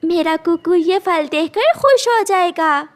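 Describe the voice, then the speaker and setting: A woman voices a patient, worried mother bird in a children's cartoon. The voice is very high and lively in pitch, with affectionate coaxing and motherly fretting. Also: slow pace, full character voice. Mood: earnest.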